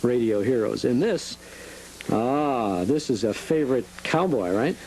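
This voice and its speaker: A man's voice: mid-range voice, animated, measured pace, darkish tone, rough recording. A middle-aged man hosting a casual interview, chatty and jokey, asking leading questions. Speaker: show host. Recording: faint hum, 1980s cable TV audio.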